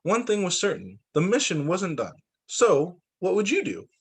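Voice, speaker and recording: A man reads a children's book aloud as a teacher would. His voice sits a bit high and moves with very animated intonation. He phrases things deliberately and expressively for young listeners. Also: husky. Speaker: teacher reading a picture book aloud to students. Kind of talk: expressive read-aloud storytelling.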